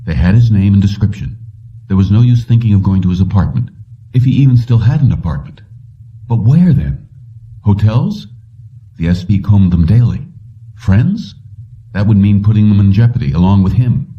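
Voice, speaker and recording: A man's voice: expressive, normal speed, pauses often. A man reading a science fiction story aloud as scripted audiobook narration. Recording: distant, dull low-fidelity audio.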